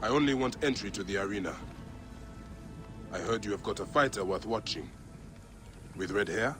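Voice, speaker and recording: An actor playing a stern, resolute Egyptian warrior bent on revenge in a video game cutscene, grim and terse. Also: male voice, lowish voice, animated, normal speed, music in the background, lightly acted. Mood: determined, pensive. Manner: grim, determined.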